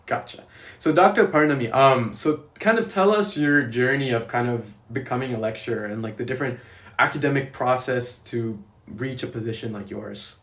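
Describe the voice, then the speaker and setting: A man's voice: mid-range voice, moderate pace, fairly bright tone. A young man hosting a student podcast, asking questions in a hesitant way with lots of "kind of" and "like". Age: young adult. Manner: hesitant, many "kind of" and "like" fillers. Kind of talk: casual interview hosting. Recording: echoey room.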